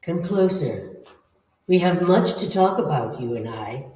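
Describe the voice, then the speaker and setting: A woman performs a sinister female spirit in a radio horror drama, coaxing and threatening with theatrical menace. The delivery is slow and widely swooping, heard from a distance in an echoey room. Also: deep voice, expressive, a little mellow, a little hiss or hum. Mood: eerie, sinister. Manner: slow, commanding, taunting, theatrical. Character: menacing, coaxing female ghost hungry for blood and life. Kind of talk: old-time radio horror acting. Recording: echoey room, far from the mic.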